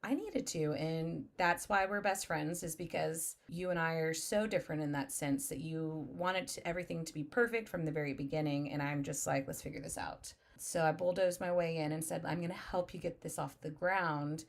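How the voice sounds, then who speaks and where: A woman's voice: deep voice, moderate pace, vocal fry. Laughing brash woman podcast co-host. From Texas, born in Oklahoma. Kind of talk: casual chat.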